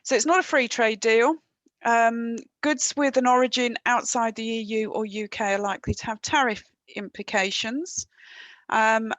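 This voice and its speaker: A woman's voice: on the high side, a bit bright, no echo. A woman presenting on trade and customs rules in a webinar over a headset. She talks conversationally, with frequent ums and dry, wry asides.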